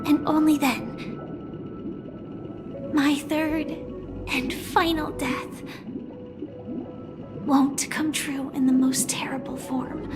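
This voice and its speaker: An actress playing a gentle, solemn young woman in a video game, speaking softly and earnestly with wistful resolve. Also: high voice, fairly even intonation, extremely slow, pauses often, over music. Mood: solemn, resolute. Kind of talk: dramatic video game voice acting.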